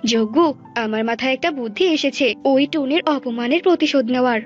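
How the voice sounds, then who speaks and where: A woman's voice: sits high, brisk, dull audio, soft background music, close to an everyday voice. A woman voicing a greedy, vain, scheming female bird wife in a cartoon, bossy and theatrical. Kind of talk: animated fable character acting.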